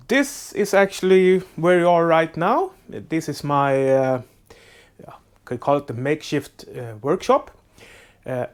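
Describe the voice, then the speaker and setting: Slow, halting Swedish-accented man. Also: medium pitch. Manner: halting, many filler uhs and restarts. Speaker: boat restoration vlogger. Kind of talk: casual how-to narration. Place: makeshift boat workshop.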